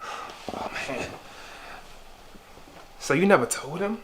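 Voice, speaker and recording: A man's voice: on the high side, expressive, slow pace, background noise. A man acting a bitter, guarded young adult abandoned at birth, sardonic and accusing in a screen drama. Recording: music clearly behind the voice.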